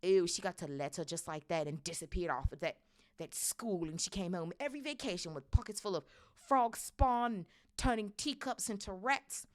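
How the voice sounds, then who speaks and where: A woman's voice: deep voice, normal speed, darkish tone, lightly acted. A woman voicing a bitter, resentful middle-aged aunt in a storybook, ranting with scorn. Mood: shrill, resentful.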